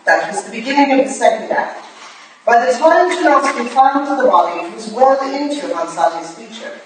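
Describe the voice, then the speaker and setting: Actress as stern Victorian lady sleuth, high lively pitch, probing, curt, distant stage reading. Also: normal speed, lightly acted. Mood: probing, suspicious. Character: stern, sharp-minded Victorian lady detective, brusque and relentless. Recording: distant, echoey hall recording with faint noise.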